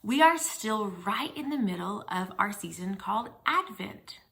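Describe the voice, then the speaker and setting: A woman's voice: mid-range voice, animated, measured pace, vocal fry, pushed and bright. A woman reading a Bible story aloud for young children, explaining things simply and putting questions to her young listeners.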